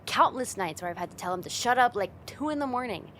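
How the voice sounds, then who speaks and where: A woman's voice: a little high, expressive, quick pace, fairly bright tone, slight background noise. A woman playing a friend's teasing older sister in a casual, conversational ASMR roleplay spoken straight to the listener. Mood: chatty, exasperated.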